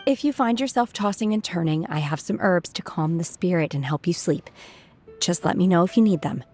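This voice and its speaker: A woman voicing a reserved, earnest, socially awkward young female disciple in a video game, speaking softly in measured, formal, sincere phrases. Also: on the low side, lightly acted. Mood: calm, caring. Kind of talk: video game character dialogue. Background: background music.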